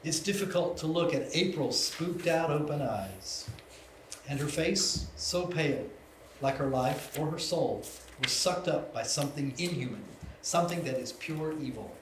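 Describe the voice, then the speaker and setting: A man's voice: fairly high pitch, lively intonation, a little slow. A man reading scripted scene directions as the narrator of a live screenplay table read. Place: indoor venue, live reading. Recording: echoey room with background noise.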